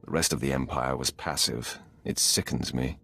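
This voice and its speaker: An actor playing a cold, commanding Sith lord in a video game, speaking in a curt, imperious way. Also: male voice, extremely low pitch, normal speed, gravelly, sharp tone, slightly rough, heavily acted. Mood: stern.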